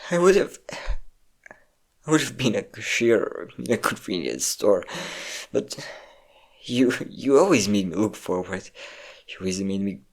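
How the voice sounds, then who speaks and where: A performer with a low voice playing an insecure, depressive young adult best friend in an intimate, halting audio roleplay monologue. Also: very animated, exaggerated voice. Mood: tearful, pleading. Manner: emotional, confiding, halting.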